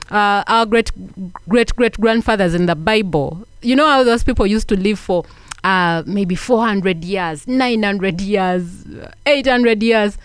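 Woman, motivational speaker, earnest, repeats herself, middle pitch, dry recording. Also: clear, resonant tone, dry recording. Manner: earnest, repeats points for emphasis, asks listeners questions. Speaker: self-help speaker. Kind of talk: motivational talk.

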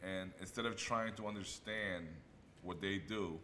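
A man's voice: sits low, steady tone, a little slow, pushed and bright, slight background noise. A man hosting a casual radio chat, rambling and joking as he acts out other voices. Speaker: radio host.

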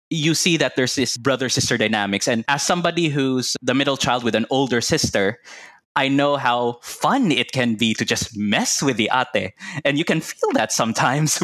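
A man's voice: mid-range voice, a bit bright, dry recording. A Filipino man from Cebu, a Cebuano speaker, chatting enthusiastically as a podcast guest. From Philippines (Cebu). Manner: chatty and enthusiastic, laughs often. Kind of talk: casual conversational interview.

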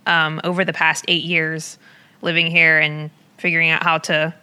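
A woman's voice: sits low, little pitch movement, moderate pace, pushed and bright, smooth. A woman in a casual chat, asking questions thoughtfully and a bit hesitantly. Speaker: interviewer. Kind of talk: casual conversation.

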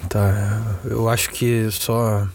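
A man's voice: fairly low pitch, little pitch movement, slow pace, vocal fry, heavy background noise. A man playing a troubled, irritable young man in a Brazilian-accented audio drama, speaking in an agitated, stammering way. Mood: nervous, evasive.

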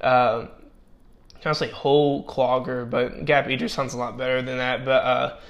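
A man's voice: medium pitch, little pitch movement, normal speed, creaky, muffled recording. A young man giving casual spoken football draft commentary, with many fillers and restarts and a voice that is giving out. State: losing his voice. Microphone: personal microphone.

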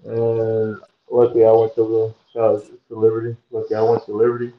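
A man's voice: fairly low pitch, monotone, fairly quick, roomy sound, phone-quality sound. A young man from Georgia, a pro basketball player chatting casually as a guest and rambling with plenty of fillers and restarts. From Georgia, USA. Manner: laid-back, rambling with many fillers and restarts. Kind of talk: casual interview chat.

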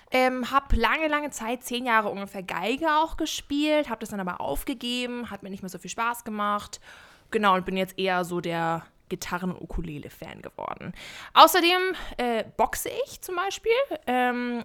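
A woman's voice: medium pitch, fairly bright tone. A young woman of eighteen from Germany, chatting casually as a podcast co-host, with lots of fillers and giggles. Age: young adult, 18. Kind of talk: casual chat.

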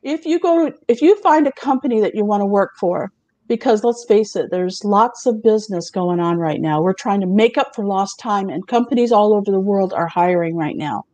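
A middle-aged woman hosting a casual talk show, chatty and quick to laugh as she presents remote job openings and asks the others questions. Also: mid-range voice, lively intonation, warm tone, no echo.

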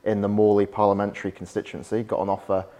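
A young man with a softened Yorkshire accent and a lowish voice talks as a podcast guest. His speech is chatty and anecdotal, full of "like" fillers. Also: completely flat delivery, quick pace. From West Yorkshire, England. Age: young adult. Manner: chatty, storytelling, frequent "like" fillers. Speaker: podcast guest, startup founder. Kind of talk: casual interview chat.

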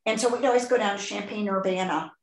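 A woman's voice: medium pitch, fast talker. Chatty older Chicago woman, echoey room. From Chicago, USA. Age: 60s. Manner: chatty, animated, laughs often. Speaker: podcast host. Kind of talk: casual interview chat.

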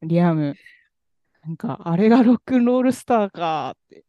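A man co-hosting a podcast, telling a story in a relaxed, rambling way with many fillers. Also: sits high, normal speed, no room sound, lo-fi sound. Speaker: podcast co-host. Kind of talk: casual chat about music.

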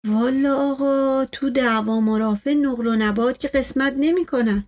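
A woman voicing a placating, folksy male servant in an audiobook story, with colloquial, deferential, drawn-out phrasing. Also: sits high, darkish tone, natural voice. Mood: evasive, placating.

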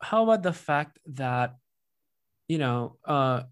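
A man's voice: mid-range voice, animated, slow, clear, resonant tone. A man from Indonesia hosting a casual chat, listening closely and asking long, winding questions. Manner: attentive listener, frequent murmured agreement. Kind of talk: casual conversation.

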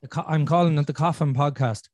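A man, from Ireland, hosting a podcast and talking in a casual, earnest way, thinking out loud as he goes. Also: a little high, steady tone, fast, dry recording, studio quality. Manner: earnest, thinking aloud with restarts and "you know". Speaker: podcast host. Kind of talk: casual conversational podcast chat.

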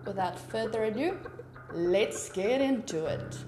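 A woman's voice: mid-range voice, lively intonation, a little slow, roomy sound, over loud music. A woman from South Africa whose first language is Afrikaans, hosting a true crime podcast in a chatty, informal way with rambling asides and a South African accent. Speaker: podcast host. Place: home room with papers on desk.

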